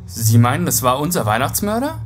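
An actor plays a junior detective in a crime radio play. The voice is mid-pitched and lively in intonation, puzzled and keen with questions. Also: fairly quick, faint music behind, close to an everyday voice. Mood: puzzled, inquiring. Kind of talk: German audio drama acting.

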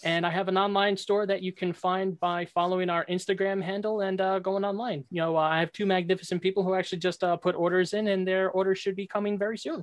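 A man's voice: sits high, little pitch movement, quick pace, hardly any pauses, a little hiss or hum. A man from Long Island, New York, chatting casually on a video call as a gaming creator. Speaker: debate contestant and gaming content creator. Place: indoor room at home.

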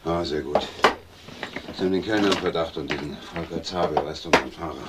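A man's voice: very deep voice, fast, heavy background noise. An actor playing a composed, practical, protective businessman brother in a German crime radio drama. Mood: brisk, practical.

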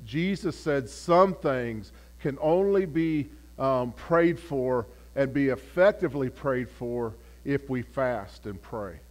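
A man leading a Bible study as pastor, phlegmy and clearing his throat, halting with many repeated words and fillers. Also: medium pitch, animated, darkish tone. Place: church. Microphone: camera.

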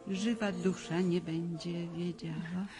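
A woman's voice: lowish voice, fairly even intonation, slow pace, music in the background. An actress playing a scheming, patronising society lady with a theatrical, condescending manner, in a radio drama. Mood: coaxing, sly.

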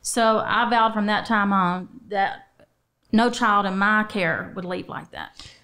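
A woman in her forties speaks at a medium pitch. She tells her story in a conversational way as an interview guest, close to a studio microphone. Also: takes it slowly, pushed and bright. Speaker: children's shelter representative, podcast guest. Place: small podcast studio, indoors. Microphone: close studio microphone on boom arm.

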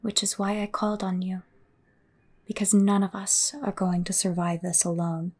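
Woman acting as a breathy, cryptic fortune teller. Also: mid-range voice, little pitch movement, a little mellow, close to an everyday voice. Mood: grave, knowing. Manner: measured, ominous. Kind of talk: audio roleplay acting.

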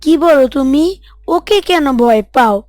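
Woman as an earnest, high-voiced devout schoolboy. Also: lively intonation, measured pace, a little mellow, no echo, clean studio sound. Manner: earnest, preachy, polite. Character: devout, earnest schoolboy Abu Rayhan, bold and principled.

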